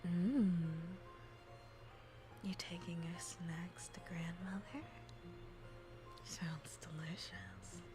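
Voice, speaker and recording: A woman voicing a sly, hungry male wolf who coaxes a child in a slow, hushed voice. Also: lowish voice, over music. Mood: luring.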